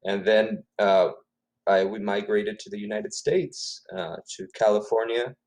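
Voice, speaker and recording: Middle-aged male author from Ecuador, native Spanish, lowish voice, musing and self-correcting in conversation. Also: fairly even intonation, unhurried, darkish tone. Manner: thoughtful, self-correcting, repeats words. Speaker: author guest. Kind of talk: casual interview.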